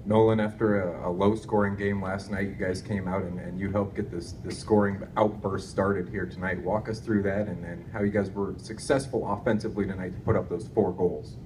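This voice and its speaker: A man's voice: sits low, level tone, brisk, few pauses. A man working as a sports reporter, asking questions at a press conference. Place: indoor press conference room. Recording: distant mic, noisy room.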